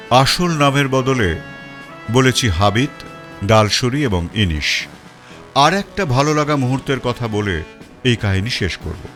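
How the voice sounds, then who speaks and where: A man narrating a detective story in the first person, in an expressive, dramatic reading style. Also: medium pitch. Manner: expressive, rising and falling. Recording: faint music bed.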